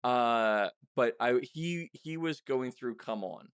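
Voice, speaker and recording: A man hosting a podcast in a casual, chatty style, speaking in a halting way with frequent restarts. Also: mid-range voice, expressive, clean tone, dry recording, clean studio sound. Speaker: podcast host and interviewer. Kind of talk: casual interview chat.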